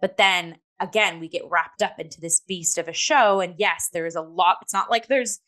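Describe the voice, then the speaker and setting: Bright-voiced woman chatting about theatre. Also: lowish voice, moderate pace. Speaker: talk show host and theatre reviewer. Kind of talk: casual review discussion.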